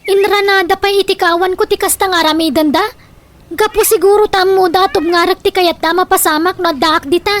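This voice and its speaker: Radio drama: scheming Filipino mother, very high voice, sharp tongue, some noise on the recording. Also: fairly even intonation, brisk. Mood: indignant. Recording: noticeable background noise.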